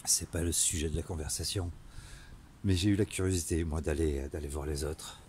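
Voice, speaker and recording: Low-voiced, halting man. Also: fairly even intonation, a little mellow. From Marseille, southern France. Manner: halting, hesitant, frequent searching pauses. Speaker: vlogger. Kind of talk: earnest improvised monologue to camera. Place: outdoors in a town street at night, later inside a car. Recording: noisy phone selfie audio, ambient noise.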